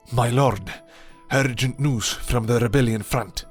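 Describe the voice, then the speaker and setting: A man voicing a loyal thrall captain who reports in a clipped, dutiful way. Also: medium pitch.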